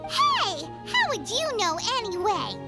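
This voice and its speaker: A tiny, bratty floating companion from a video game speaks in a sky-high, wildly swooping voice and talks about herself in the third person. She drawls her words slowly. Loud game music plays underneath. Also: wildly swooping pitch, exaggerated voice. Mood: excitable.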